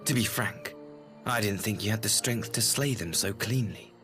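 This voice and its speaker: A man's voice: lowish voice, natural voice. A man acting a smug, cruel brother in a video game cutscene, theatrical and taunting, drawing out his words. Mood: mocking. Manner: theatrical, taunting, drawn-out delivery. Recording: music behind the voice.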